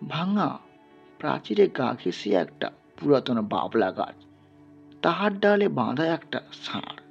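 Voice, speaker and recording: Husky, creaky man narrating a dramatic story. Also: mid-range voice, very animated, unhurried, lo-fi sound, soft background music.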